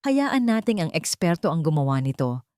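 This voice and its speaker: A woman's voice: sits low, very animated, normal speed, warm tone, smooth, no echo, studio quality. A woman voicing a guilt-ridden, protective rich father and guarded husband in an audio drama, earnest and restrained.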